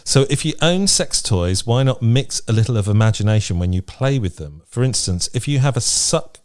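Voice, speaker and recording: A man's voice: medium pitch, expressive, moderate pace. A British man co-hosting a podcast, chatting casually and reading out lists in a frank, playful way. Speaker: podcast co-host. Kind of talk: casual chat, reading out from a list.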